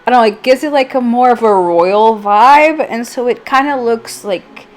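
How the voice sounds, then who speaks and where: A woman's voice: on the high side, moderate pace. A woman giving a casual, rambling, self-deprecating voice-over as a vlogger, her voice slightly off from allergies. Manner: informal, rambling, self-deprecating, filler-heavy. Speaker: vlogger, channel host. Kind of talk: casual chatty voice-over for a craft video.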